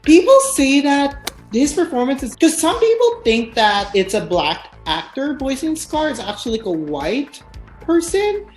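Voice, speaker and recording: A woman's voice: sits high, sing-song, unhurried, darkish tone, echoey room, faint music behind. A woman hosting a casual chat about films, enthusiastic and gushing. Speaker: host.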